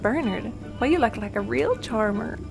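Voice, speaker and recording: A woman's voice: on the high side, expressive, moderate pace, creaky, over music. A woman playing a nosy Southern American mother in a sci-fi audio comedy, folksy and blunt.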